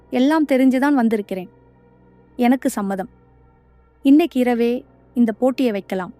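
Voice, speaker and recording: A clever cartoon heroine, put on by the narrating woman, speaks in a high, dry, close voice. She is earnest and bold, set on winning. Faint music plays behind her. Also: warm tone, no echo, close to an everyday voice. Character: brave clever young wife, determined. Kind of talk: cartoon voice acting.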